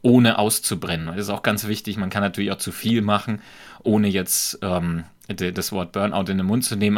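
A man with a light Franconian accent, a podcast guest talking in a reflective, measured way and explaining with examples. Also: on the low side. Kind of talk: conversational podcast talk.

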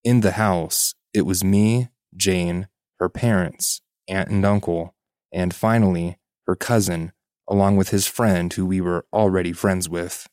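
A man's voice: low-pitched, darkish tone, no room sound, clean studio sound. A man reading a first-person story aloud as a podcast narrator.

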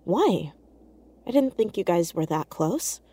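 An actress playing an earnest, caring teenage girl in an audio drama, speaking in a hesitant, halting, naturalistic way. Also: fairly low pitch, big pitch swings, dark tone, breathy edge, full character voice. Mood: puzzled, guarded. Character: earnest, awkward, caring teenage girl amateur sleuth, hiding in fear.

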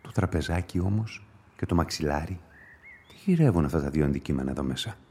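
An actor playing an observant police inspector musing to himself in a radio play. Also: male voice, rumbling low, lively intonation, mellow, a little hiss or hum. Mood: puzzled. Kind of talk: radio play inner monologue.

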